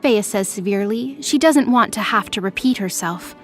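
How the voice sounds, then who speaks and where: A woman's voice: mid-range voice, animated, normal speed, faint music behind. A woman narrator reading scripted, dramatic fiction for an audio drama.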